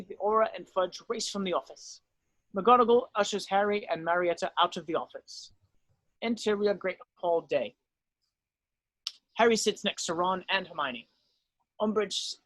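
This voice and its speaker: A man's voice: high-pitched, moderate pace, a bit bright, no room sound, poor audio. A man reading stage directions aloud as the narrator of a script read.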